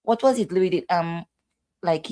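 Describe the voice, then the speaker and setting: A woman's voice: lowish voice, measured pace, dark tone, slight background noise. A middle-aged woman hosting a casual family chat, talking in a rambling, chatty way with asides. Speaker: host, mother.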